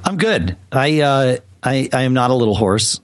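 A man co-hosts a casual tech podcast, playful one moment and thinking aloud through restarts the next. His voice has a mid pitch, and the close mic sounds dry. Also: lively intonation, normal speed, dry recording. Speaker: podcast co-host.

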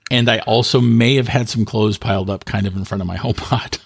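Male co-host, casual tech chat. Rough-edged, fry-laden delivery, halting and self-correcting. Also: on the low side, steady tone, dry recording, studio quality.

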